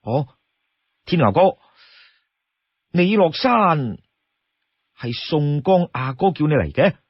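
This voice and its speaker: A man plays a shrewd young outlaw from a storybook, exasperated and firm as he lays down rules. The voice is husky and admonishing, close and dry, with a slightly dull sound. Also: a little high, sing-song, takes it slowly, dry recording, full character voice. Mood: puzzled. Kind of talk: Cantonese storytelling character voice.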